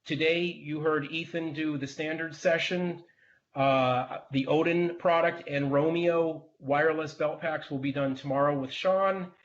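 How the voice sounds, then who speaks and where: A man hosting a webinar presentation in a measured, businesslike way. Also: on the high side, fairly even intonation, muffled recording.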